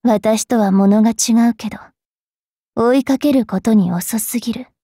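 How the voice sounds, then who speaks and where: A woman voicing a bratty, cruel young fairy witch in an anime game, haughty and taunting with a rough tongue. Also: on the high side, moderate pace, mellow, dry recording, clean studio sound. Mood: stern, studious. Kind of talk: Japanese anime game character voice lines.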